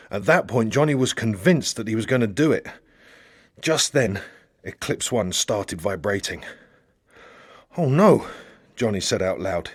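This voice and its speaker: A man reading a satirical novel aloud as a narrator, with dramatic, expressive storytelling. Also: mid-range voice, no echo, clean studio sound. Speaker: audiobook narrator.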